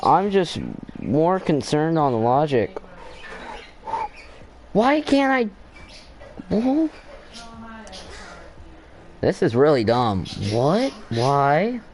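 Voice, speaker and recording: A young man giving casual, halting gameplay commentary, thinking aloud as he plays. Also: fairly high pitch, wildly swooping pitch, darkish tone, slight background noise. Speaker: gaming video host.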